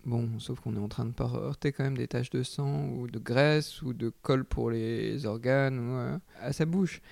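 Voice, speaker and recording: A man's voice: mid-range voice, fairly even intonation, measured pace, gravelly, a little mellow, dry recording. A man speaking as a textile artist in an interview, giving reflective answers and often hesitating while he searches for words.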